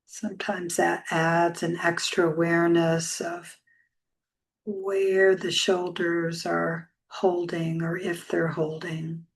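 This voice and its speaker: A woman leading a guided meditation as a yoga teacher, speaking softly and soothingly with long, unhurried pauses. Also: lowish voice, little pitch movement, gravelly.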